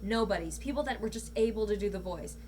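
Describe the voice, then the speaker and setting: A woman's voice: medium pitch, fast talker, a little mellow. Blunt woman in casual, sweary conversation. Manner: blunt, profane. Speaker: guest, amateur voice actor. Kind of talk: casual chat. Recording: audible background noise and hum.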